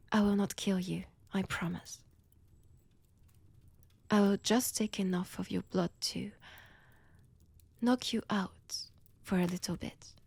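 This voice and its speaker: A breathy female vampire with a French accent, played by a woman, speaks in unhurried, deliberate phrases. She is seductive and teasing with quiet menace, often slipping toward a whisper. The audio roleplay sounds intimate, close and very dry. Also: medium pitch, no echo, exaggerated voice. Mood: soothing menace. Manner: slow, teasing, deliberate delivery. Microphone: close, dry microphone.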